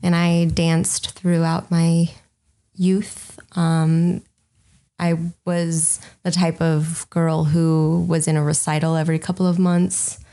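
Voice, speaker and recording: A young woman in her twenties chats easily as a podcast guest, dotting her sentences with ums. Her voice sits a little on the low side. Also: little pitch movement, slow pace, warm tone. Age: 20s. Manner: open and chatty, many "um"s. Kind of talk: casual interview chat.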